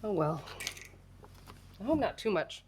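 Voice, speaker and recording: A woman's voice: medium pitch, very animated, measured pace, noisy background. An American woman hosting a crafting livestream, chatting casually and self-deprecatingly while she works, laughing often and a little congested. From United States.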